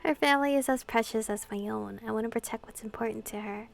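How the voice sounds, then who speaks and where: A young woman reads a visual novel aloud and chats her reactions in an expressive, giggly way. Her voice sits fairly high with a strong crackle of vocal fry, and game music plays softly behind her. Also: fast. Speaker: gaming content creator. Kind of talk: visual novel playthrough, reading lines aloud with casual reactions.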